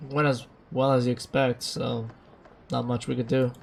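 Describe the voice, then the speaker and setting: A man's voice: mid-range voice, flat delivery, normal speed, gravelly. A man giving casual gameplay commentary as a streamer, reacting in an offhand way. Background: game music.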